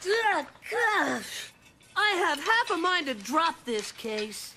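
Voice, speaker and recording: A woman's voice: very high voice, sing-song, slow, sharp tone, soft background music, full character voice. A woman voicing a self-important young boy who plays detective in a children's cartoon, pompous in manner. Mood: grumbling.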